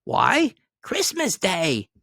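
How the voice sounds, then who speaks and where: A man's voice: high voice, huge pitch swings, drawn-out words, gravelly, bright, forceful tone, no echo, studio quality, voice pushed up, heavily acted. A man voicing a cheeky, eager young street boy in a dramatic storybook reading, pert and exclamatory. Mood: puzzled wonder. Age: child.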